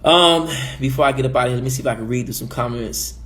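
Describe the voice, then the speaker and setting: A man's voice: medium pitch, fast, fairly bright tone. A man from the Caribbean giving emphatic opinion commentary as a vlogger, preachy and repeating his points.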